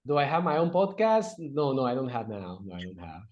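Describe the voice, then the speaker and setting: A man's voice: mid-range voice, big pitch swings, brisk. A man from Venezuela, a native Spanish speaker, answering interview questions in English. He speaks hesitantly, with fillers, restarts and slips in grammar. The recording sounds dull and lo-fi. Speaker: guest.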